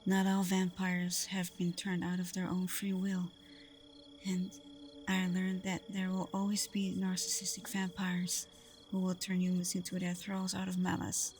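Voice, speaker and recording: A woman playing a gentle, protective vampire girlfriend in an ASMR roleplay, speaking softly and often close to a whisper, soothing and unhurried. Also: on the low side, completely flat delivery, faint music behind, close to an everyday voice. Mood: wistful. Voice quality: soft, breathy, often near whisper.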